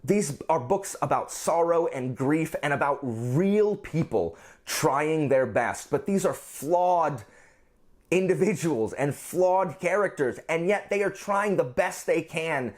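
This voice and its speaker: Male book vlogger, voice on the higher side, chatty and informal, effusive and emphatic delivery. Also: animated, slow. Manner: gushing, emphatic, repeats phrases for emphasis. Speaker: book reviewer and channel host. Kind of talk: casual chatty book vlog.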